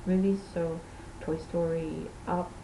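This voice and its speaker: A woman's voice: on the low side, steady tone, slow pace, vocal fry, mellow, a little hiss or hum, rough recording. A woman from Portugal, a native Portuguese speaker, hosting a knitting podcast in rambling, self-correcting casual chat.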